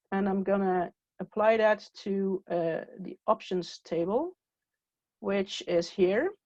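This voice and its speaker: A woman whose first language is Dutch walks through a software screen step by step, pausing on "uh" as she clicks. Her voice sits at a middle pitch and comes through a dull, lo-fi recording with a dry, close sound. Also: dry recording. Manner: step-by-step, with frequent "uh" fillers. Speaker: software trainer. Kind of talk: screen-share tutorial walkthrough.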